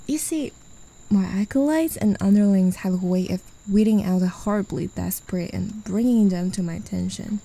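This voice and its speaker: A possessive demon, played by a woman, toys with her claimed pet in a soft, condescending ASMR roleplay. The voice is very dark and gravelly, with a husky edge. She teases and drops into low whispers.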